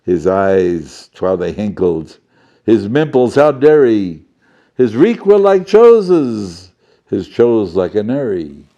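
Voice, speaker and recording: A man's voice: lowish voice, big pitch swings, slow, dark tone, highs cut off, exaggerated voice. A man playing a jolly, fussy professor storyteller who reads a children's storybook theatrically, with chatty asides and muddled words. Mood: dramatic, excited.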